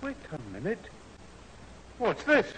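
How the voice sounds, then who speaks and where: A grumpy old grandfather, with a high, sharp voice that swoops in exasperation. The performance is broad radio sitcom from the 1970s, heard in a roomy, hissy old recording. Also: huge pitch swings, takes it slowly, heavily acted. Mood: exasperated, grumpy.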